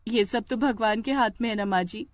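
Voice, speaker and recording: A woman's voice: a little high, moderate pace, slight background noise, close to an everyday voice. A woman voicing a meek, shy young daughter-in-law with a deferential manner.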